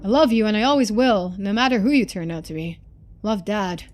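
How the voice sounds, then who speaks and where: A woman's voice: medium pitch, animated, brisk, darkish tone, natural voice. A woman voicing a loving, lonely middle-aged father in a story game, reading his letters in an earnest, folksy manner.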